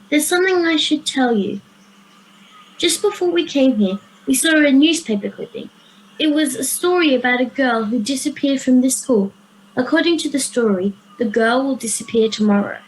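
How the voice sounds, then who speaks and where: Young girl acting an earnest schoolgirl, high child voice, radio drama, slight call noise. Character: earnest time-travelling schoolgirl. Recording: faintly noisy home video-call recording.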